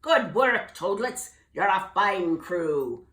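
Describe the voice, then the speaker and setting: A woman's voice: medium pitch, expressive, slow. A woman doing a blustery old sea captain. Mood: hearty. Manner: loud, blustery, piratey. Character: gruff old male sea captain, grumbling salt. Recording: echoey room.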